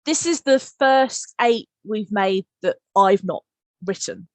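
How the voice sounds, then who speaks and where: A woman's voice: mid-range voice, takes it slowly, no echo. A woman who writes audio drama, chatting casually and teasingly with friends on a podcast. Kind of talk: casual behind-the-scenes podcast chat.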